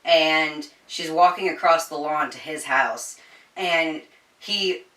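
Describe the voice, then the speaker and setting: A woman chatting informally through a book recap, slangy and sweary, telling it like an animated storyteller. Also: low-pitched, takes it slowly, recorded from a distance. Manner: animated storyteller, voicing quoted dialogue. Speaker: book reviewer. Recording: echoey room, somewhat off the mic.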